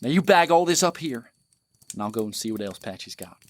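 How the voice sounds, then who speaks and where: A man's voice: medium pitch, lively intonation, fairly quick, dry recording, close to an everyday voice. A man playing a greedy, domineering bandit with a drawl in an audio drama. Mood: greedy, commanding. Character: greedy, ruthless, domineering male bandit, older brother type. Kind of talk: audio drama acting, folksy Old West dialect.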